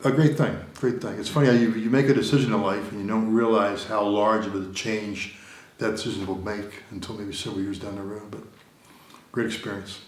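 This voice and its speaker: A middle-aged American man gives a casual interview, rambling and repeating himself with plenty of fillers. His voice sits a little low. The studio around him adds a noticeable roomy echo. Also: steady tone, brisk. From United States. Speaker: interview guest. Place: recording studio room.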